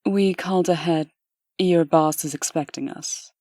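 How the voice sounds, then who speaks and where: Woman playing a low-voiced, composed official. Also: steady tone, a little slow, warm tone, no room sound, clean studio sound. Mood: matter-of-fact. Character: composed, businesslike woman official, firm and proper. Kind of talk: comic dub voice acting.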